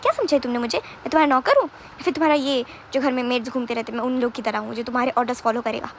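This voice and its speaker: Woman acting as a captive young man, angry, defiant, pleading, high voice, creaky edges, audio story. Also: rapid-fire, slight background noise, lightly acted. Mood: defiant, indignant. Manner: indignant, stammering, repeats demands.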